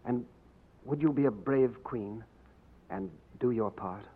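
An actor playing a suave, gallant romantic hero in a 1940s radio drama. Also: male voice, medium pitch, slow, husky. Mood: tender. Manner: suave, tender, heroic. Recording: dull lo-fi 1940s radio broadcast.

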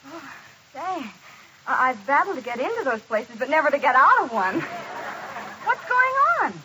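A woman's voice: sits high, sing-song, normal speed, crowd noise, background noise. An actress playing a feisty, blunt young working-class show girl in an old-time radio drama. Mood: indignant.

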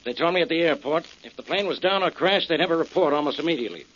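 An actor playing a skeptical, exasperated police inspector, gruff and sarcastic, in 1940s radio drama style. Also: male voice, a little high, rapid-fire, a bit bright. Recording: dull old radio recording.

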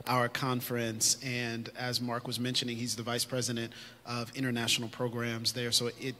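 A man's voice: medium pitch, completely flat delivery, moderate pace, bright, forceful tone. A man moderating a live conference panel, in a preacherly way that rallies the audience. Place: conference hall stage. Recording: echoey hall, faint noise.

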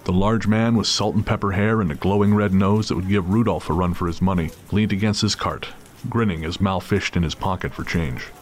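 A man's voice: low voice, fairly even intonation, brisk, a little hiss or hum. A man narrating a scripted audio drama as storyteller.

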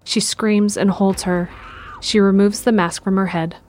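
A woman's voice: medium pitch, steady tone, unhurried, darkish tone. A woman reading scripted narration for an audio drama.

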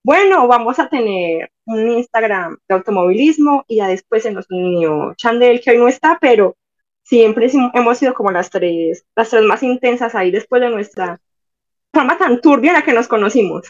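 A young woman from Colombia, a podcast guest chatting casually and telling animated stories. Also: fairly high pitch, normal speed, lo-fi sound. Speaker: podcast guest, Instagram page admin. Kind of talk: casual chat.

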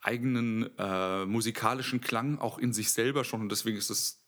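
A man in his twenties, an opera singer, answering interview questions thoughtfully with many pauses and restarts. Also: on the low side, fairly even intonation, a bit bright. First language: German. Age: 20s.

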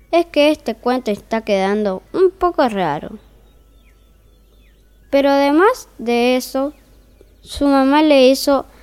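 A woman's voice: high voice, a little mellow, clean tone. A woman playing a mild, easily flustered fairy-tale narrator in a comic audio story, hesitant and accommodating.

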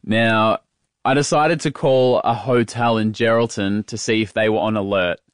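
A man with an Australian accent hosting a podcast in casual, comedic and slightly halting chat. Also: on the low side, fairly even intonation, clear, resonant tone, no room sound, clean studio sound. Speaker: podcast and radio host.

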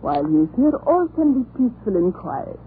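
A scheming mistress in a vintage radio horror play. The manner is composed and mocking, with theatrical poise. The recording is tinny and lightly hissing. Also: female voice, a little high, very animated, a little fast. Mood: probing, dismissive. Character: cool, scheming Russian woman, the tyrant's mistress. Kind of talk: 1930s radio horror acting.

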